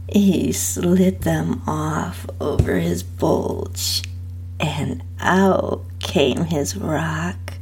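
A woman reads a first-person story very slowly, in a hushed and sultry way. Her voice is strongly breathy and husky, dipping into creaky fry. The delivery is intimate, like close storytelling. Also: lowish voice. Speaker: host and narrator of own story podcast.

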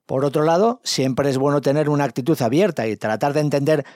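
A man narrating a scripted story podcast, delivering a clear explanatory outro. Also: fairly high pitch, a little fast, a little mellow, no room sound, clean studio sound.

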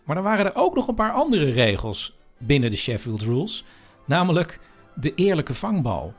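Male podcast host, fairly high voice, lively intonation, informal storytelling over faint music. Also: moderate pace. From Netherlands. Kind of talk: informal scripted podcast narration.

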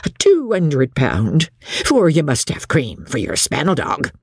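Storybook tradesman woman reader: mournful, wronged, working-class English accent, low, breathy voice. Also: huge pitch swings, measured pace, no echo, studio quality, full character voice. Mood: aggrieved, lamenting. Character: woeful, aggrieved, honest tradesman and creditor, adult man.

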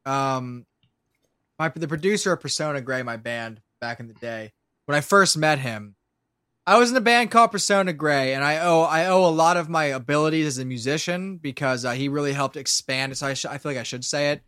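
A man's voice: on the high side, a little fast, a bit bright, clear, resonant tone, no room sound, studio quality. A man in his late twenties with an American accent chats casually on a podcast, rambling, swearing and often interrupting himself. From Pennsylvania, US. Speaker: podcast guest, show creator and musician.

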